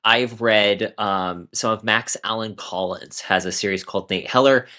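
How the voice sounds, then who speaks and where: A man's voice: on the low side, fairly even intonation, moderate pace, bright, forceful tone. A man in a casual podcast discussion, speaking in an enthusiastic, emphatic way. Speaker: podcast panelist.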